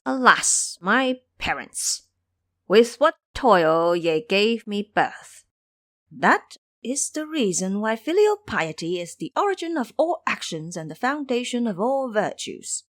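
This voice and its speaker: A woman's voice: mid-range voice, very animated, a little slow, fairly bright tone. A woman voicing a cocky, teasing male monkey king in an audio drama, boastful, preachy and theatrical in manner.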